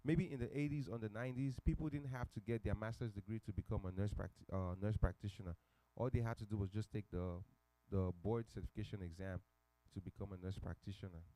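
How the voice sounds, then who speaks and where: A man's voice: on the low side, steady tone, fast, dark tone, no echo, rough recording. A man hosting a church career night, introducing guests playfully, with fillers. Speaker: event host. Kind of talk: introducing speakers at a church event. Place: church hall.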